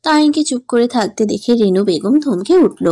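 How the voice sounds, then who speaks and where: Mellow female story narrator, dry sound. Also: fairly high pitch, lively intonation, normal speed, slightly rough, no echo. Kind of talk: scripted dramatic story narration.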